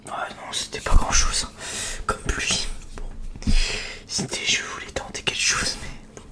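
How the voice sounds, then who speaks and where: A person whispers a breathy, hesitant, rambling ASMR chat to viewers as a video creator. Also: measured pace.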